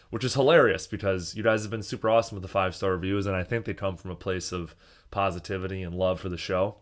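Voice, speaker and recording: A man's voice: lowish voice, fairly bright tone. A man hosting his podcast alone, talking in a chatty, jokey way with casual swearing, recorded on a small microphone in an echoey hotel room. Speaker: podcast host. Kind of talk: casual solo podcast monologue.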